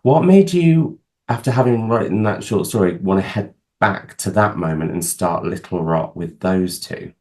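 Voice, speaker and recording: A man's voice: sits low, moderate pace, darkish tone. A man hosting a book channel who interviews an author he admires over a video call, asking long, gushing questions.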